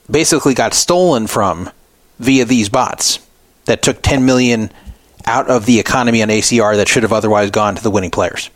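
A man's voice: mid-range voice, no room sound. A man hosting a talk podcast, giving opinionated, explanatory commentary off the cuff. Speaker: podcast radio host. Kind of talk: unscripted poker news commentary.

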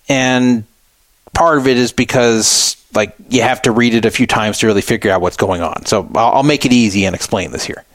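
A man's voice: medium pitch, a little fast, fairly bright tone, no room sound. A man hosting a radio show, reading out and explaining things in a conversational, explanatory way. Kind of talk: talk radio commentary, reading out and explaining a statement.

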